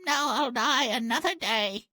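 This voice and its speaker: A man's voice: extremely high pitch, unhurried, pushed and bright, no room sound, exaggerated voice. A man playing a crusty, feisty old granny with a tart, blunt, theatrical delivery in a fairy-tale game. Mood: crusty, unbothered.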